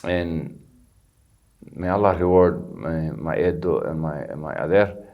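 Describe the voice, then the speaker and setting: Deep-voiced man telling his story. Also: measured pace, creaky, highs cut off. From Somalia. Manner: earnest, candid, sprinkles Arabic phrases. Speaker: guest, recovery center founder. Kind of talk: personal storytelling interview. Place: studio.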